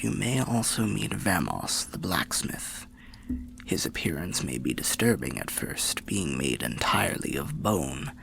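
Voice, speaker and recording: A man voicing a weary old undead soul, telling fantasy lore softly in a low, gravelly, breathy roleplay as a slow, courteous, cryptic storyteller. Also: full character voice. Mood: calm, courteous. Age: older. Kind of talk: soft-spoken fantasy roleplay ASMR narration.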